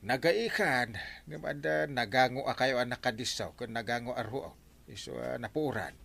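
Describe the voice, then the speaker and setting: A man playing a teasing, excitable village captain in a comedic Ilocano radio drama, with an Ilocano accent and lots of exclaiming. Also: mid-range voice, normal speed, vocal fry. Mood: explaining. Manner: animated, given to exclaiming and chuckling.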